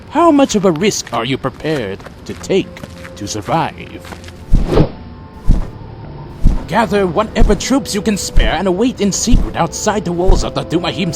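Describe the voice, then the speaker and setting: A man playing a proud, defiant vampire clan leader in an audio drama, formal and theatrically intense. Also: fairly high pitch. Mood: defiant, urgent. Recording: dramatic music behind.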